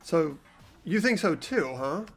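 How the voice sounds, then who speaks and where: A man's voice: a little high, big pitch swings, slow, faint music behind, full character voice. A man voicing an earnest, anxious teenage boy who narrates a mystery in an amateur game dub. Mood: puzzled. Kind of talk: amateur voice acting of a video game.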